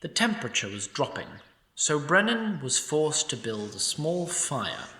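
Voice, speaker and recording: A man giving scripted narration of a fantasy audio drama with an expressive storytelling delivery. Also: a little high, measured pace, echoey room, far from the mic.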